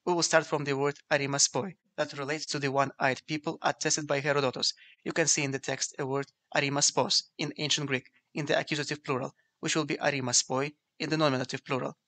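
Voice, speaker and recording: Fast-talking man with a flat, bright delivery. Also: a little high. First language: Ukrainian. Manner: measured, explanatory, reading from a script. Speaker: video essay narrator. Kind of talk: scripted lecture narration.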